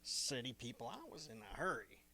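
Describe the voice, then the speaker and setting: An actor playing a stubborn, easily irritated farmer in a radio drama, rambling along in homespun rural phrasing. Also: male voice, mid-range voice, animated, brisk, sharp tone. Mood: grumbling. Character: folksy, long-winded, stubborn male farmer, easily irritated. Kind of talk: old-time radio drama acting.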